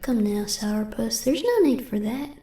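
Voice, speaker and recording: A smug young cartoon pony villain, played by a woman, breezy and confident with a drawl. The voice is high and very lively, with a roomy echo around it. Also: a little slow. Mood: coaxing. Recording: roomy echo under music.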